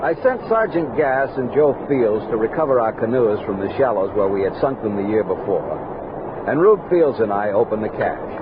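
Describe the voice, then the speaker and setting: Grave American captain, swinging expressive delivery. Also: male voice, on the low side, highs cut off, noisy background. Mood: measured, reflective. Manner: measured, literary storytelling with crisp orders. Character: grave, commanding American army captain narrating his expedition journal. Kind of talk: scripted dramatized narration, 1960s radio drama. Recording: band-limited 1960s studio radio recording with music.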